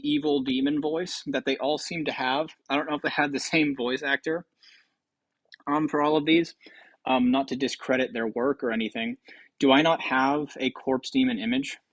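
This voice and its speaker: A man's voice: fairly high pitch, moderate pace, dry recording, highs cut off. Casual, dry-sounding male gaming commentator. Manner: hesitant, rambling thinking aloud. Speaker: video host.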